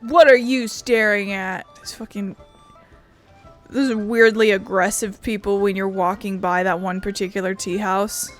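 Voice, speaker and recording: A woman hosts a gaming video with casual, off-the-cuff commentary. She has a mid-pitched voice and a sarcastic, sweary manner, with faint music behind her. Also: fairly even intonation, a little slow.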